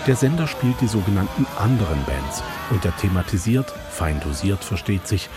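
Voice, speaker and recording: A man's voice: low-pitched, sing-song, moderate pace, loud music behind the voice. A man reading scripted documentary narration as a radio reporter.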